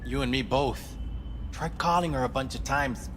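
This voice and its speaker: An actor playing a flighty, casual club worker in a video game, speaking in a hesitant, vague way. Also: male voice, medium pitch, normal speed, background noise, lightly acted. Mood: casual, gossipy. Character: flighty, casual male doll working at a club. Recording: reverberant room, music behind.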